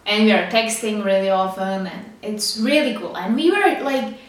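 A woman's voice: medium pitch, normal speed. A young woman from the Czech Republic with a Czech accent, rambling casually to the camera with lots of fillers and laughs. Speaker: vlogger. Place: small studio with street traffic outside. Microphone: camera's built-in mic, no external microphone. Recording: roomy, distant camera mic with faint street noise.

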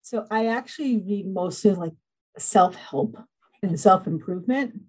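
A woman's voice: mid-range voice, a little slow, a little mellow, husky, poor audio. A woman hosting a podcast, chatting in a bubbly, self-deprecating way and laughing often. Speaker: podcast host. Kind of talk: casual interview chat.

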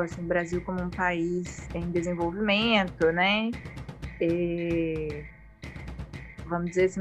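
A woman with a Brazilian Portuguese accent, hosting a conversational interview in an informal, reflective manner. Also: medium pitch. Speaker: interviewer, host.

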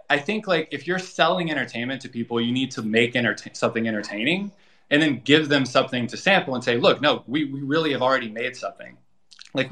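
Male interview guest, bright tone, conversational pitch style, explanatory with casual tags. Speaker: guest, project founder.

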